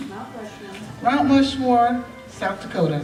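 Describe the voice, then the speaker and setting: A woman's voice: on the high side, animated, a little slow. A woman narrating the scene settings of an amateur radio play. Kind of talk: reading stage directions aloud. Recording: echoey, distant mic, noisy.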